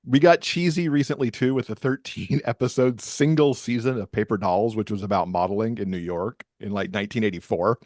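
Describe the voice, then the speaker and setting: Chatty man, low-pitched, close dry sound. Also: brisk, hardly any pauses, a little mellow, no echo. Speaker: podcast guest, author. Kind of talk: casual conversational interview.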